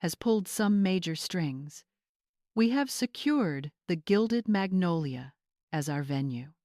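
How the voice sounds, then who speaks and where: A catty young bride from an audiobook drama, played by a woman narrator. She sounds fake-sweet and condescending, with a cold edge, in a lowish female register and a dry recording. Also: expressive, takes it slowly, dark tone, no echo. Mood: smug, fake sweet. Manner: syrupy mockery turning to cold commands.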